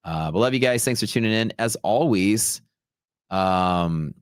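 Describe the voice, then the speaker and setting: A man's voice: on the low side, animated, a little slow, no room sound, studio quality. A man from Texas hosting a livestream, telling stories in a casual, rambling, slangy way. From Texas, United States. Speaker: livestream host, futures trader. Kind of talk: casual livestream chat, storytelling.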